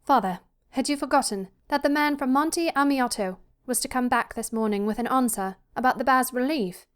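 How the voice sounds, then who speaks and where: A woman voicing a frightened, pleading young daughter in an audiobook story, with a breathy, hurried, anxious delivery. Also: high voice, pitched above the performer's own voice, close to an everyday voice. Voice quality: breathy, gasping.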